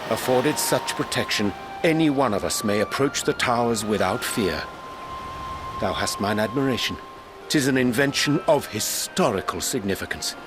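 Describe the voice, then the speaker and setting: An actor playing a solemn, stately man who speaks formal, archaic high speech in a video game. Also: lively intonation, normal speed, natural voice. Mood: courteous, approving. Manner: formal, archaic, oratorical phrasing. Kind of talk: video game cutscene acting. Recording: voice over game music.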